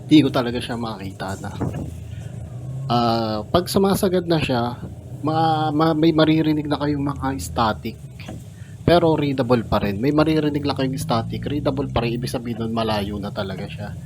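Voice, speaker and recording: A male vlogger gives an off-the-cuff gear review at a middling pitch. He talks casually and haltingly, often repeating a word before he finds the next. Clear vehicle noise runs behind his voice. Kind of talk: casual unscripted product review.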